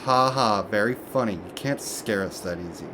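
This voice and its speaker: A man acting a sceptical, excitable young ghost hunter in an amateur horror story, flippant and scoffing. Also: lowish voice, normal speed, noisy background, lightly acted.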